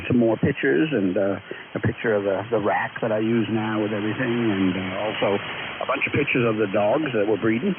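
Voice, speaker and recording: A man in his sixties chatting casually over amateur radio in an easygoing, matter-of-fact way. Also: fairly low pitch. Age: 60s. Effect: radio transmission. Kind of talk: casual ham radio chat. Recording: noisy narrowband ham radio signal.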